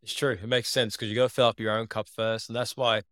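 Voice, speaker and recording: A young man from Liverpool, now living in Australia, tells his story in a steady, earnest way. His voice is low and clear, with very little rise and fall, and it sits close and dry in the recording. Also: quick pace, no echo. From England. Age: 20s. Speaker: podcast guest. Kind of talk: casual interview talk.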